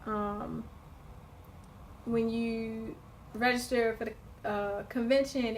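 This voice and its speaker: A woman in a casual vlog, her voice slightly hoarse from screaming, chatting in a rambling way with lots of fillers and restarts. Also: on the high side, a little hiss or hum, low-quality recording. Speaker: vlogger.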